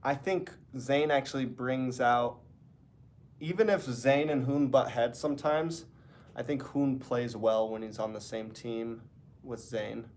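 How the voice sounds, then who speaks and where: A man with a stuffy, congested nose talks to his stream in a loose, rambling way. He sounds relaxed and chatty, drifting from one thought to the next as he speaks from a hotel room. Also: medium pitch, a little slow. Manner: rambling, relaxed, talking to chat. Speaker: esports caster. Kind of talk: casual livestream chat.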